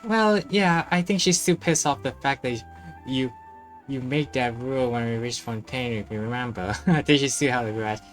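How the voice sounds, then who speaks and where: A woman's voice: a bass voice, normal speed, faint music behind. A woman giving casual live commentary as a game streamer.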